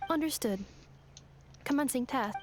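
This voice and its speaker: A woman's voice: high voice, lively intonation, a little slow, slight background noise. A woman voicing a polite, literal-minded robot helper in a video game, with clipped, formal, mechanical phrasing. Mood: obedient.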